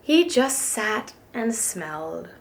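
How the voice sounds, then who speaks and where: A woman reading a children's storybook aloud as a preschool teacher, with gentle, expressive emphasis. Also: medium pitch, slow pace.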